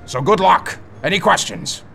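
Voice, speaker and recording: Gruff army commander: very gravelly, forceful, menacing, for a comic voice reel. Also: male voice, high-pitched, animated, moderate pace, soft background music, pitched above the performer's own voice, heavily acted. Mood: commanding menace. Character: gruff menacing military commander briefing his men.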